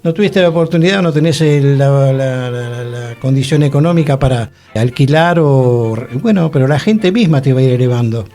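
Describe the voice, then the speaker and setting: Male Argentine co-host, average pitch, chatty and agreeable, tends to repeat himself, music underneath. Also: moderate pace, few pauses, mellow. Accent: Rioplatense Argentine. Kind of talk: casual chat.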